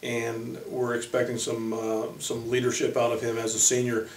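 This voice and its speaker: A man speaking as a college basketball coach, introducing players informally in a halting way with frequent pauses. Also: mid-range voice, completely flat delivery. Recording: echoey room, distant microphone.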